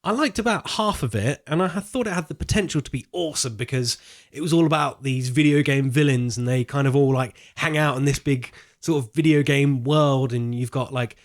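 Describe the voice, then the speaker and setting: A man's voice: medium pitch, a little fast, few pauses. A man co-hosting a podcast, chatting casually about films in an informal, rambling way as he thinks aloud. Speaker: podcast co-host. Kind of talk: casual film discussion chat.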